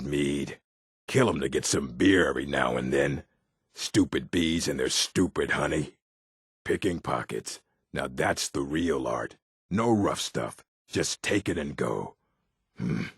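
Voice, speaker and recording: Surly orc thug, husky rasp, rough slangy talk, close dry sound, video game. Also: male voice, extremely low pitch, dry recording, exaggerated voice. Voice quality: gravelly, husky. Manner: rough colloquial speech, dropped endings. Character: gruff, surly orc bandit, muttering and menacing. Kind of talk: video game character voice acting.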